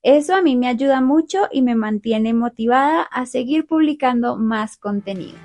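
A young woman hosting a learner podcast, talking through a calm, clear, scripted monologue. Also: fairly high pitch, normal speed, soft background music. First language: Spanish. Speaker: podcast host.

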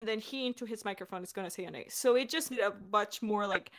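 A woman's voice: medium pitch, fast talker, fairly bright tone, slight background noise. A woman director and voice actor talking in a chatty, enthusiastic, giggly way in a casual, unscripted Q&A. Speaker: audio drama director and voice actor, hosting a Q&A.

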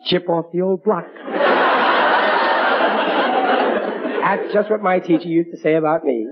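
A man's voice: high-pitched, fairly even intonation, a little fast, over a noisy crowd, very noisy. An actor playing a bumbling, stubborn working-class American father in a blustering, ungrammatical way, in old radio sitcom style. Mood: proud, dismissive. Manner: blustering, ungrammatical everyman talk. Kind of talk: 1940s radio sitcom acting. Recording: muffled old radio broadcast.

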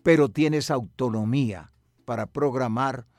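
An older man from Colombia with a Colombian accent answers interview questions reflectively, in measured, articulate and rather formal language. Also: mid-range voice, a little mellow, dry recording. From Medellín, Colombia. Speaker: cultural radio broadcaster and music researcher.

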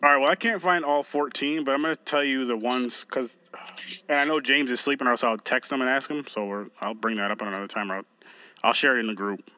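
A man with a bright, forceful voice recorded close and dry, co-hosting a podcast in loose, joking banter. He talks casually and swears freely. He moves between lists and anecdotes in a relaxed, profane way. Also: mid-range voice, fast talker, no echo. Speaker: podcast co-host. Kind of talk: casual chat.

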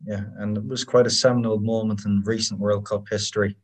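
Low-voiced male interviewer, off-mic. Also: flat delivery, fairly quick. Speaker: podcast host, interviewer. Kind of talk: conversational sports interview. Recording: distant from the microphone.

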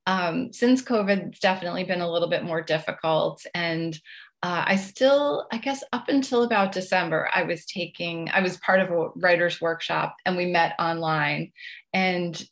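A middle-aged woman speaking conversationally as an interview guest, chatty and often hedging her phrases as she goes. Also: on the low side. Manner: chatty, hedging with "you know" and "I guess". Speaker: guest author.

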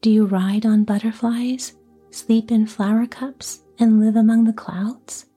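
A woman's voice: fairly high pitch, steady tone, measured pace, slightly rough, soft background music. Woman whispering as a sad little girl. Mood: wistful. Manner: soft whisper.

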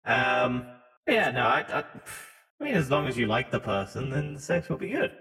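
A man's voice: mid-range voice, big pitch swings, normal speed, pushed and bright, faint music behind. A man co-hosting a podcast with casual, crude joking banter in a reverberant room. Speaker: podcast co-host.

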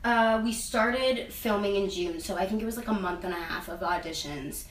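A woman's voice: medium pitch, moderate pace, slight background noise. A young adult woman chatting casually in an interview, rambling and filling her talk with "like" and "um.". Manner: rambling, heavy use of "like" and "um". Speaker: interviewee, singer and actress. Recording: echoey room, mic at a distance, faint ambience.

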